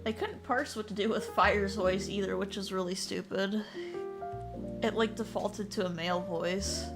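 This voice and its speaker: A woman's voice: mid-range voice, normal speed, soft background music. A woman chatting casually on a livestream in a blunt, exasperated way with some swearing. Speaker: video creator and streamer. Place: at a desk, in a VR chat.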